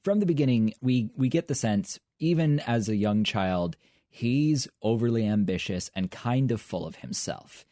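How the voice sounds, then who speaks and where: A man's voice: mid-range voice, animated, normal speed, warm tone, dry recording. A young man co-hosting a podcast, talking in a casual, articulate way with a dry wit. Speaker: podcast co-host. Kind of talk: casual review chat.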